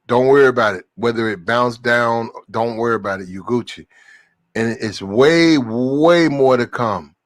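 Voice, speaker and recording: A man's voice: mid-range voice, a little slow, no echo. Slangy man explaining things on a panel. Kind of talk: casual online panel chat. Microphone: headphones with mic.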